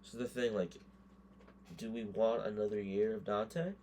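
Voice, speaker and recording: A hoarse man giving casual gameplay commentary, thinking aloud and muttering quick verdicts as he goes. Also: lowish voice, fairly even intonation, a little mellow, slight background noise. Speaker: gaming creator.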